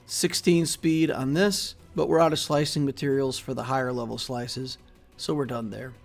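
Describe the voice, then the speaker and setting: A middle-aged man hosting his game channel, giving relaxed, casual commentary over his gameplay with plenty of fillers, recorded at his desk indoors. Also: medium pitch, vocal fry. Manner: relaxed, steady walkthrough with frequent fillers and trailing off. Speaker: mobile game channel host. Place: small room indoors.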